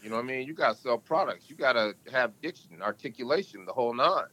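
A man talks through stories in an animated, emphatic way, with a bright, forceful voice. His pitch sits in a middle range, and he can drop it deep when he wants to. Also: quick pace, poor audio. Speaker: podcast guest. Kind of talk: casual interview talk.

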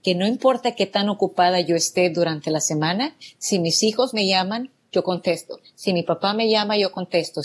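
Woman guest, low-pitched, earnest and grateful answers. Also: darkish tone, husky. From El Salvador. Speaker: guest, real estate business owner. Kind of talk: interview answers.

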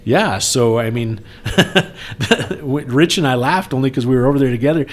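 Male podcast speaker, mid pitch. Earnest, persuasive, conversational appeal with emphatic repetition. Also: expressive, measured pace. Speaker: speaker appealing for campaign support. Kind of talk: casual podcast talk.

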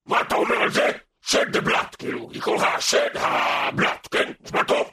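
A man's voice: background noise, natural voice. A man playing a bossy, dismissive devil who hands out jobs in hell, with music behind him.

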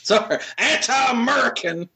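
A woman's voice: fairly high pitch, lively intonation, measured pace, gravelly, pushed and bright, slightly rough. A woman in her thirties co-hosting a podcast, chatting casually and reading aloud in an amused, giggly manner. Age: 30s. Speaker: podcast co-host reading out reviews.

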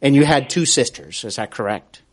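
An American man speaking conversationally as a podcast interviewer, attentive and a bit halting in his phrasing. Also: mid-range voice, lively intonation, breathy edge.

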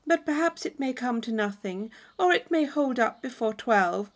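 A woman's voice: on the high side, expressive, moderate pace, close to an everyday voice. A woman voicing an eager, anxious young lady from a storybook, earnest and given to dramatic exclamations. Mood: hopeful sighing.

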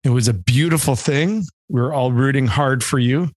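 Wry Michigan podcast co-host, mid voice, dry close recording. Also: male voice, mid-range voice, moderate pace, no echo. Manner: wry, joking. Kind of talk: casual chat. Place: home.

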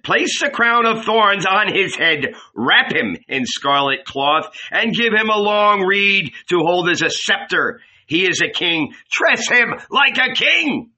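A man's voice: fairly high pitch, sing-song, slow pace, bright, forceful tone, husky, voice pushed up, full character voice. A man voicing a proud, mocking, short-tempered Roman governor in an audiobook, imperious and declamatory.

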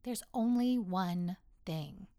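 A woman playing a kindly, wise and amused God who explains things gently and deliberately in a children's story. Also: mid-range voice, close to an everyday voice. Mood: patient, explaining.

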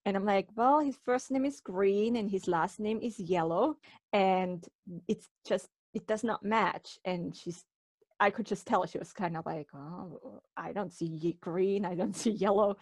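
A woman's voice: mid-range voice, measured pace, darkish tone, dry recording. Woman podcast host with a dry, close sound. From Switzerland. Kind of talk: conversational podcast talk.

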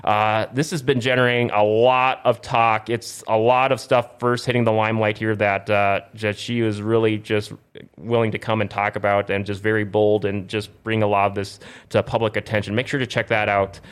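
A man's voice: lowish voice, level tone, moderate pace, clear, resonant tone. A man hosting a casual gaming talk show, reading news and plugs in a rambling way and often stumbling over words and correcting himself. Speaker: podcast host. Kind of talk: casual video game talk show with plugs and sponsor reads.

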